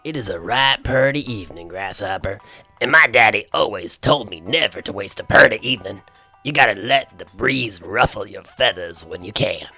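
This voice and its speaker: A man playing an easygoing, feathered country fellow with a gravelly voice and a folksy Southern drawl in an audio drama. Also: on the low side, lively intonation, normal speed, sharp tone, soft background music, heavily acted.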